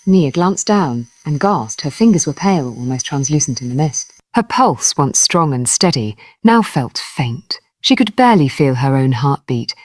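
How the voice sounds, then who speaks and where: A woman storyteller with a low voice narrates a dramatic folktale. Her delivery is expressive, rising and falling widely, and the sound is close and dry. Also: normal speed, few pauses, no room sound.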